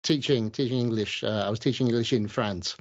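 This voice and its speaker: A man hosting a podcast interview, a former language teacher who talks in halting, repeated starts and drops in jokes. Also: mid-range voice, muffled recording.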